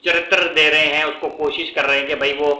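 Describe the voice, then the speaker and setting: A man from Pakistan with a Pakistani Urdu accent, commenting casually in a vlog, often pausing on fillers and slipping English words in. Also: a little high, flat delivery, pushed and bright, echoey room, soft background music, poor audio. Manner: halting, many fillers, mixes in English words. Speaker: vlogger.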